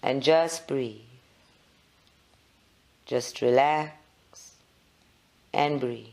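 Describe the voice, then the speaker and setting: A meditation leader, giving measured guided instructions in short phrases with long pauses. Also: mid-range voice, a little mellow.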